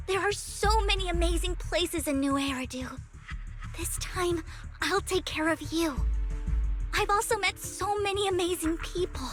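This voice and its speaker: A very high, girlish voice pleads with open-hearted earnestness. She is gentle and soft-hearted in the manner of an anime game heroine. Light music runs under her words. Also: a little slow. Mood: pleading, hopeful. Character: gentle, earnest girl pleading with an old friend. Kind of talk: anime-style video game voice acting.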